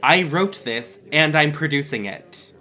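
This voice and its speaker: A man's voice: a little high, lively intonation, measured pace, sharp tone. A man playing an indignant, sarcastic superhero who writes and produces musicals in an audio drama, with a wounded, theatrical manner.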